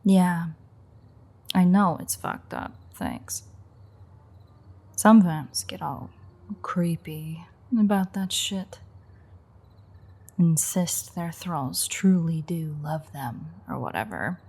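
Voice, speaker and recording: Woman doing a sardonic vampire lady for audio roleplay, soft mellow breathy voice, slow teasing drawl, playful but dark, swears a bit. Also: low-pitched, sing-song, full character voice. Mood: dry, candid. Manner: wry, foul-mouthed, teasing. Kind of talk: intimate audio roleplay addressed to listener.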